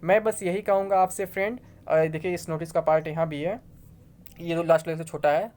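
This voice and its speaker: A woman's voice: fairly low pitch, steady tone, a little fast, darkish tone. A woman with an Indian accent giving an informal news explainer, reading articles aloud and explaining them. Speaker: YouTube exam news presenter.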